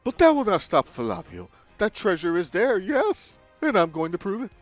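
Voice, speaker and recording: A man's voice: high voice, huge pitch swings, normal speed, voice pushed up. Guy putting on a showy rich trader voice, big swoopy dramatic delivery, game music under it. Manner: theatrical, exclamatory, mock Italian-style accent put on. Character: flamboyant rich male trader, dramatic and vain. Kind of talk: reading game dialogue aloud in a put-on voice.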